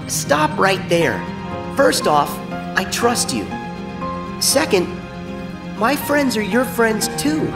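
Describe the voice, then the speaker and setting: A man's voice: high-pitched, very animated, slow, pauses often, full character voice. A man voicing an earnest, plucky, loyal teenage boy hero in a video game cutscene. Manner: earnest, boyish. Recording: game music underneath.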